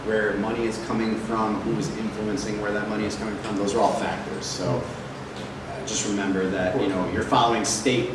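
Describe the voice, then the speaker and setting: A man's voice: on the low side, flat delivery, normal speed. A man on a panel answering questions casually, with fillers like "you know". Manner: casual, filler-laden explaining. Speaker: panelist, cannabis business. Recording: echoey hall from far off, noise.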